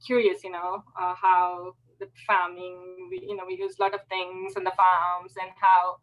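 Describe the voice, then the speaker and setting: A middle-aged woman from Fiji speaking informally and a little haltingly on a video call, telling her career story as a panelist. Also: on the low side, flat delivery, lo-fi sound, faint music behind. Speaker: panelist, alumna working as a supervisor.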